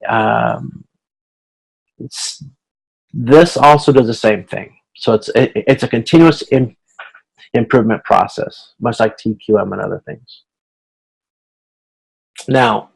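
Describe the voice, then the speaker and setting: Halting male instructor, medium pitch. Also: animated, vocal fry, breathy edge, poor audio. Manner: halting, often restarts and repeats phrases. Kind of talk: informal explanatory lecture over video conference.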